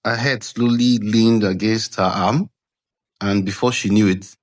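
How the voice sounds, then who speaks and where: A man narrating a scripted folktale dramatically, with measured suspense and deliberate pauses, as a storyteller. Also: on the low side, steady tone. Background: background music.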